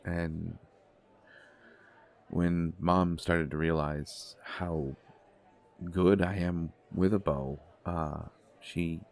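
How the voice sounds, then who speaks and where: A man playing a shy, gentle young god of desire in an intimate audio date roleplay, speaking politely with many hesitations and stammers. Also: sits low, steady tone, extremely slow, vocal fry, pauses often, full character voice. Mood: reflective, wistful.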